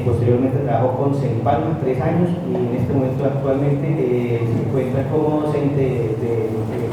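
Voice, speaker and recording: A Colombian man presenting a guest speaker in a formal seminar welcome, speaking haltingly and repeating words. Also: mid-range voice. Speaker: event presenter introducing a speaker. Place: echoey room at a university seminar. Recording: echoey, distant, noisy room sound.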